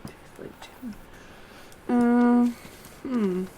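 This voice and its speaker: A woman's voice: fairly high pitch, steady tone, very slow, mellow, a little hiss or hum, rough recording. A woman streaming a video game, muttering to herself in casual live commentary and often trailing off. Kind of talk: casual live gameplay commentary, thinking aloud.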